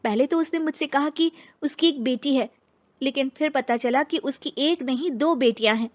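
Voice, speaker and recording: A woman's voice: sits high, moderate pace, natural voice. A woman playing an earnest, wronged young divorced woman in a dramatised story. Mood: aggrieved.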